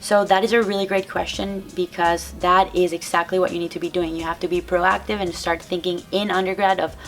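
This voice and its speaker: Low, gravelly Venezuelan-accented young woman. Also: level tone, a little fast, faint music behind. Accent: Venezuelan Spanish. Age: young adult. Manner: earnest, conversational, answering viewer questions. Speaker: strength coach and physical therapy graduate. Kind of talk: casual vlog advice. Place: parked car, then indoors by a window.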